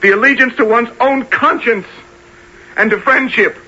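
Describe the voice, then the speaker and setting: A man acting an earnest, defiant young army lieutenant in a period radio drama, halting and then declamatory. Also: very high voice, pushed and bright, slightly rough, exaggerated voice. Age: young adult. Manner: halting when pressed, impassioned and declamatory. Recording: dull lo-fi old radio sound.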